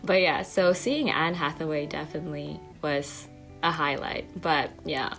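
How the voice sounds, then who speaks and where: A woman's voice: deep voice, unhurried, gravelly, fairly bright tone, soft background music. A young woman with a light New Zealand-tinged accent, chatting casually as an interview guest, full of fillers and acted-out quotes. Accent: New Zealand-tinged English. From Japan. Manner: chatty, many fillers and "like", acts out quotes with laughs. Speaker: actress guest.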